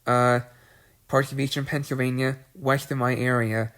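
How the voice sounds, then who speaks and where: Congested man, flat delivery. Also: mid-range voice, moderate pace. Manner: clears throat often, restarts phrases; reads long lists of places in a level voice. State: congested with a cold. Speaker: weather video host. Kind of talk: unscripted weather briefing.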